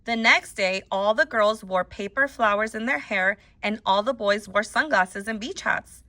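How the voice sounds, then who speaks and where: A woman reading a children's book aloud as a teacher, in an expressive storytelling style with deliberate emphasis. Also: medium pitch.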